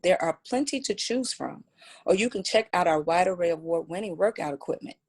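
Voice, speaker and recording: A woman's voice: on the low side, lively intonation, a little fast, a little mellow. A woman playing an earnest gym trainer with a salesy tour-guide patter in a script read.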